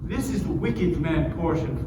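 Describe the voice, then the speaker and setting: Man performing condemning prophet-like accuser, declamatory, wide-swinging pitch, long echo, stage reading. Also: expressive, unhurried. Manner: emphatic, declamatory. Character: fiery, condemning friend warning of God's wrath. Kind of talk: dramatic stage reading of scripture. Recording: loud noise, echoey hall, distant mic.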